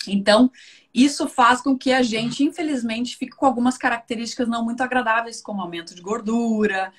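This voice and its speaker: Woman, southern Brazilian accent, slightly high pitch, raspy edge, conversational expert guest, chatty, tag questions. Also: brisk. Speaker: guest nutritionist. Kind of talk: conversational explanation in a live online talk.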